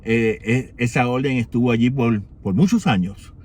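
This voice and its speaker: A man from Rico, giving an unhurried reflection for morning prayer, with many pauses and rhetorical questions. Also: mid-range voice, slight background noise. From Puerto Rico.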